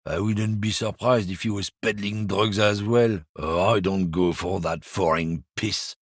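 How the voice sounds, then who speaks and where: An actor playing a boastful, crude, bigoted middle-aged lorry driver in a video game, recorded on a dry studio mic. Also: male voice, on the low side, fairly even intonation, a little slow, slightly rough, dry recording. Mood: sneering.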